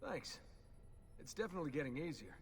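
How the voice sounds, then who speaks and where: A man's voice: a little high, very animated, brisk, big-room sound, slight background noise. A man playing a casual, wary young trainee assassin in a video game. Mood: easygoing. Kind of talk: video game cutscene acting.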